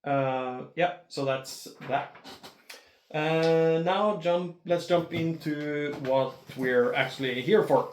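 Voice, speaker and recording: Slow-paced Norwegian man in a roomy space. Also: fairly high pitch, a little hiss or hum. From Norway. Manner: hesitant, halting. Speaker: amateur video creator. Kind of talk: casual unboxing commentary.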